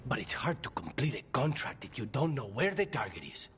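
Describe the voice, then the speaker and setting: An actor playing a cool, businesslike assassin with a dry, measured way of speaking in a fantasy game. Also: male voice, medium pitch, sing-song, a bit bright, slight background noise. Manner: dry, measured, matter-of-fact. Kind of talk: dramatic fantasy game voice acting. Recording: long reverb, faint background ambience.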